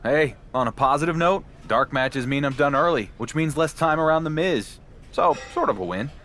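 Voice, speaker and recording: A man's voice: a little high, expressive, normal speed, fairly bright tone. A man playing a determined wrestling champion in a game cutscene, talking with dry, wry sarcasm over slight background noise. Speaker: wrestler character. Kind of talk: conversational video game cutscene.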